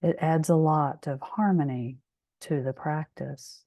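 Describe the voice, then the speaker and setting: Slow, low-pitched woman guiding meditation. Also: fairly even intonation, dark tone, no echo. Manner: soothing, measured, with long pauses. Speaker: meditation guide. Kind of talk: guided meditation.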